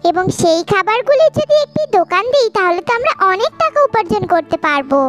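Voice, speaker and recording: A woman's voice: extremely high pitch, very animated, fast talker. A woman voicing a small caring mother bird in a children's cartoon, pleading and questioning like a practical wife. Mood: coaxing. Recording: children's music behind the voice.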